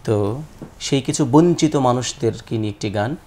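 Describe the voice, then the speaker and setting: A man's voice: medium pitch, moderate pace, darkish tone, a little hiss or hum. A man with a Bangladeshi accent, a guest singer talking conversationally on a talk show.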